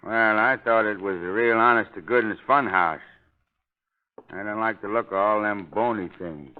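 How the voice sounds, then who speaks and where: A man's voice: lowish voice, normal speed, narrow, tinny audio, natural voice. A man acting a timid, folksy old man with an American accent in 1930s radio drama style. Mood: timid, uneasy.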